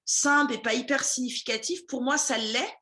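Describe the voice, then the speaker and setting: A chatty woman interviewing a guest in a relaxed, conversational way, full of little fillers and restarts. Her voice sits a touch higher than most women's. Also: moderate pace. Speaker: language school director, interviewer. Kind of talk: casual interview chat.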